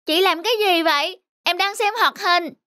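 An actress voicing a lonely, sulky young schoolboy in a cartoon, talking stubbornly. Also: female voice, very high-pitched, moderate pace, bright, forceful tone, no echo, clean studio sound. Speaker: cartoon character. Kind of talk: cartoon voice acting.

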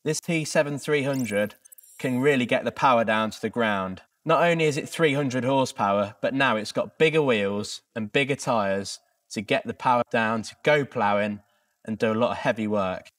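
A man's voice: mid-range voice, moderate pace, a bit bright. A man, a farmer giving an enthusiastic customer testimonial. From England. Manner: enthusiastic, emphatic.

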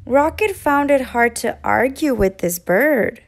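A woman narrating a children's storybook aloud in an expressive, deliberate storytelling manner. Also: high voice. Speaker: children's read-aloud host, teacher.

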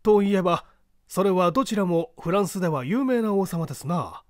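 Inquisitive gentleman guest for mystery audiobook male reader; high, animated, formal, keen questioner. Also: brisk, no room sound, studio quality. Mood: curious, polite.

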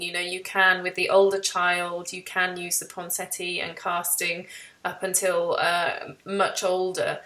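Bright-voiced woman interviewing. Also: medium pitch. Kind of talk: conversational interview. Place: indoor room, video call. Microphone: computer on video call.

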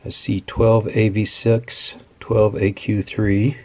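A man giving a casual, unscripted hands-on demonstration in a matter-of-fact, measured way at an indoor workbench. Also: lowish voice, flat delivery, gravelly, warm tone. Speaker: host of an electronics teardown video.

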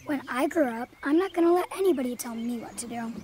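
A woman's voice: high-pitched, quick pace, darkish tone, natural voice. A woman playing a cheeky, headstrong little child in an audio drama. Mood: cheeky, defiant.

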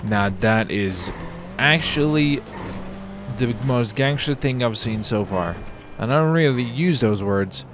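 A man commentating his roleplay gameplay, excitable and hyped, his voice jumping when something surprises him. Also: mid-range voice, slow, noisy background. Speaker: gameplay streamer.

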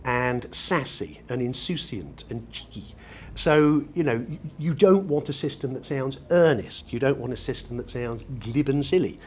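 A man's voice: mid-range voice, lively intonation, normal speed, few pauses. A middle-aged British man explaining his work in an unscripted interview, articulate and ornate in his wording. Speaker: loudspeaker designer being interviewed. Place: large glass-roofed exhibition show room. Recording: noisy exhibition room ambience.

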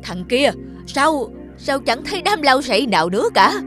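A stingy, scolding old landlord. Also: female voice, high-pitched, big pitch swings, moderate pace. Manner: gruff, bossy, scolding. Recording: studio voice with loud music.

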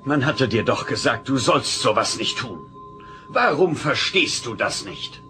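An actor playing an evasive, formally courteous but stern station administrator in a German audio drama, with emphatic delivery. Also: male voice, medium pitch, very animated, measured pace, music in the background. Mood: angry, threatening.

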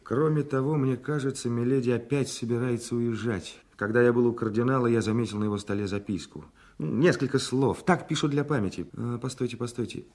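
A man's voice: mid-range voice, a little fast, darkish tone, natural voice. A man playing a bold, hot-blooded young musketeer in a radio play, speaking dramatically and emphatically. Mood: earnest, resolute.

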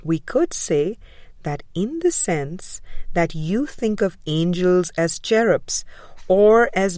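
Slow, expressive woman reading aloud. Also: on the low side, no room sound, studio quality. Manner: measured, deliberate phrasing with frequent pauses. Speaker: audiobook reader. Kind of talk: scripted spiritual book reading.

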